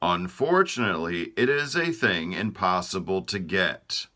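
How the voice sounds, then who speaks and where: A man's voice: low-pitched, expressive, unhurried, sharp tone, exaggerated voice. A man voicing grave royal physicians, formal and solemn.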